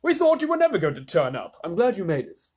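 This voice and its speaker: A man's voice: high-pitched, wildly swooping pitch, quick pace, darkish tone, breathy edge, voice pushed up, heavily acted. A man voicing an old, courteous male grasshopper. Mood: concerned. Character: old green grasshopper, genial elderly male.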